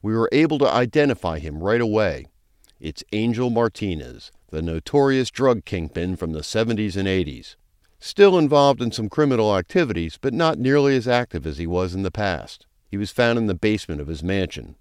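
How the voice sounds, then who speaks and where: A man's voice: fairly low pitch, animated, moderate pace, darkish tone, clean tone, no room sound, clean studio sound, close to an everyday voice. A man playing a brusque, foul-mouthed, sarcastic police chief in a crime audio drama. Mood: businesslike. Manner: brusque, commanding, crude briefing delivery.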